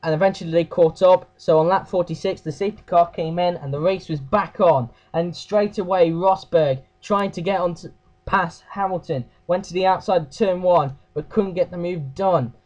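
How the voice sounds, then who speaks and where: Croaky man recapping a race. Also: high voice, moderate pace, a little mellow, lo-fi sound. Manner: hesitant, with fillers and self-corrections. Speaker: race review host. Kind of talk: casual race recap narration.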